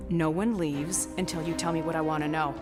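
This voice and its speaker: A woman's voice: deep voice, fairly even intonation, brisk, natural voice. An actress playing a stern, exasperated mother who commands a spaceship in a video game, speaking firmly and in clipped orders. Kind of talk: cinematic video game acting. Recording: long hall reverb, music behind.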